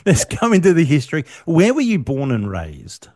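An Australian man leads the interview in a friendly, chatty way, his pitch bouncing up and down as he talks. The sound is close and dry, with no room around it. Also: huge pitch swings, moderate pace, a little mellow, no echo. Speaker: host. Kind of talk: studio interview.

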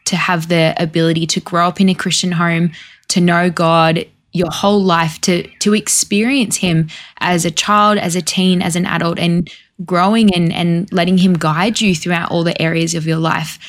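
Friendly woman hosting, lowish voice. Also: moderate pace. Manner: friendly and encouraging, steady questions. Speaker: guest host. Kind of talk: interview hosting.

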